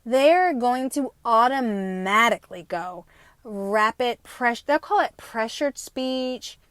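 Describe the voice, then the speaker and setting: Solo podcast host, woman in her 50s, touch of jersey accent, rapid profane rambling, higher voice, dry sound. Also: animated, a bit bright, clean tone, no room sound. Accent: New Jersey, at times. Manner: fast-talking, profane and rambling; emphatic, with frequent tag questions. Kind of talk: unscripted podcast monologue.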